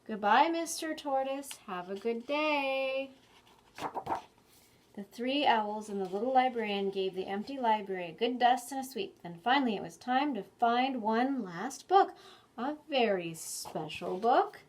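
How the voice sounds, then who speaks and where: Woman storytime host, slightly high voice, expressive delivery for preschoolers, asks the listeners questions. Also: moderate pace, low-quality recording. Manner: expressive, addresses young children with questions. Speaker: children's librarian storytime host. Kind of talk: animated picture-book reading and chat for preschoolers.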